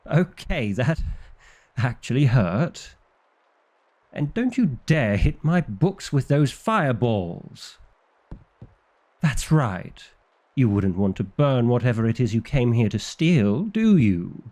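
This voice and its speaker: Vampire librarian for ASMR roleplay, played by a man: exasperated and bookish, unhurried delivery with big melodic swings, wry theatrical indignation. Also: medium pitch, very animated, darkish tone. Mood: angry, taunting.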